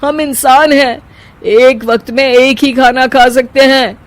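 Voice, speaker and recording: A woman's voice: high-pitched, steady tone, takes it slowly. A woman voicing a fed-up husband in a storybook tale, protesting and pleading with emphasis. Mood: exasperated. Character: exasperated, pleading, fed-up husbands of feuding cooks.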